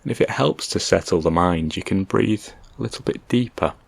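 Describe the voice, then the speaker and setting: A man leading a guided meditation in a gentle, calm, measured way, leaving long pauses between short instructions. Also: sits low, gravelly, husky, no room sound.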